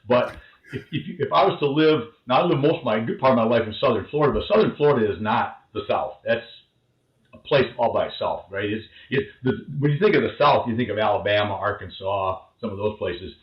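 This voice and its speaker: A man's voice: medium pitch, expressive, brisk. A man from the northern Midwest with a Midwestern accent, a show guest talking casually, often stopping and repeating words. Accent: Midwestern American. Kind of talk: casual conversation. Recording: dull roomy call audio.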